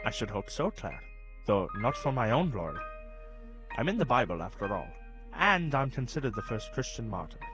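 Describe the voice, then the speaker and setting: An actor playing a kindly, gently wry young saint who guides and explains, a touch pedantic, in a children's audio drama. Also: male voice, mid-range voice, sing-song, moderate pace, music in the background, lightly acted, poor audio.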